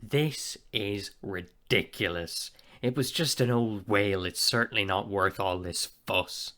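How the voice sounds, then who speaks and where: An actor playing a boastful, bullying young pirate captain in an audio drama, swaggering and taunting. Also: male voice, fairly low pitch, measured pace, sharp tone. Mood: indignant. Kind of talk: theatrical audio drama dialogue.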